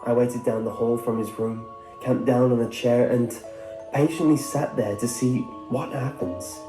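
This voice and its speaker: A man's voice: medium pitch, steady tone, unhurried. A man reading a scripted first-person horror story as its narrator. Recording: roomy echo under music. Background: music.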